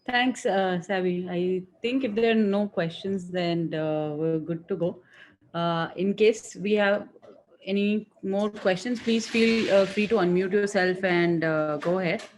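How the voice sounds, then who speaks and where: A woman's voice: mid-range voice, fairly even intonation, a little slow, a little hiss or hum. A woman moderating an online webinar, politely and unhurriedly inviting questions over a video call.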